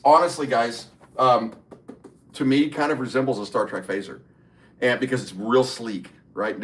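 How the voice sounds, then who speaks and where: A middle-aged man, hosting a casual livestream and chatting with viewers, reading their comments aloud and answering them. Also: mid-range voice. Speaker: livestream host. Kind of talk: casual live stream chat.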